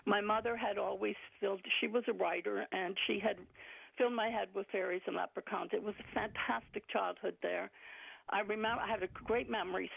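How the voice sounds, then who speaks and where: An older woman tells stories in a rambling, chatty way, often breaking into laughter. Her voice is very gravelly and breathy-husky. The sound is dry and dull, like an old radio broadcast. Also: medium pitch, dry recording. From Ireland. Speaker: guest author and filmmaker. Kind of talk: casual radio interview.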